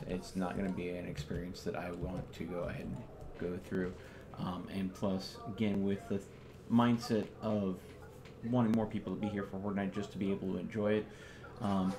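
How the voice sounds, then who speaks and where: A man hosting a live gaming stream, chatting casually as he plays. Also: low-pitched. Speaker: video game streamer, host. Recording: clearly noisy home stream recording.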